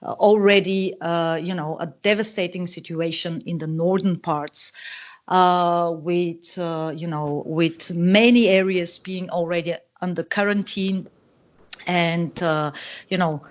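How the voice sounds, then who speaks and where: A woman's voice: on the low side, fairly even intonation, unhurried. Bulgarian-accented woman, muffled call audio. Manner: hesitant, many fillers and restarts. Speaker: guest analyst. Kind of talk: podcast interview, explaining.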